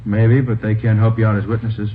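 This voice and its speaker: Man acting sceptical police lieutenant, American, low voice, stern, curt, thin narrow-band vintage radio drama recording. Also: flat delivery, brisk, a little hiss or hum, natural voice. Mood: stern, accusing. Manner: curt, stern, matter-of-fact.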